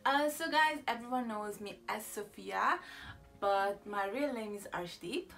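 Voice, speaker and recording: A woman's voice: medium pitch, a little slow, vocal fry, a bit bright, far from the mic. A young woman from Italy chatting casually in a vlog, rambling with lots of fillers and restarts, sitting in a small room. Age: young adult. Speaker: YouTuber. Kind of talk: casual get-to-know-me chat.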